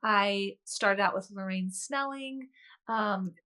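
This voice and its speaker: A woman's voice: mid-range voice, slow, sharp tone, clear, resonant tone. A woman speaking as a guest author in a conversational interview, chatting and telling personal stories in an earnest way.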